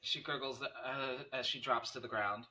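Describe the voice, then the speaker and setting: Bright, forceful male screenplay narrator. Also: mid-range voice, steady tone, normal speed, poor audio. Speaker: narrator reading stage directions. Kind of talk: scripted screenplay narration at a table read.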